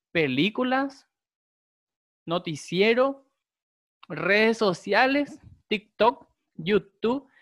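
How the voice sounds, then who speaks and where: Man preaching, Guarani speaker, unhurried, dry close sound. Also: high-pitched, a bit bright, dry recording. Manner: slow, deliberate, emphatic repetition. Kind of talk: sermon with prayer.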